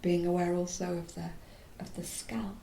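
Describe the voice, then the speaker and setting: A woman leads a guided body relaxation in a mellow voice with a gravelly creak. She speaks softly and soothingly, leaving pauses mid-phrase and letting endings go breathy. The room is echoey. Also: little pitch movement. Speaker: yoga nidra teacher. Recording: roomy echo, faint low rumbling noise.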